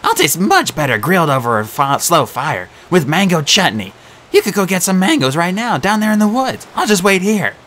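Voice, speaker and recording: A man's voice: very high voice, big pitch swings, measured pace, hardly any pauses, pushed and bright, voice pushed up, exaggerated voice. A man voicing a jittery stammering satyr. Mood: nervous pleading. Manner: nervous, stammering, pleading. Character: timid, jittery young male satyr.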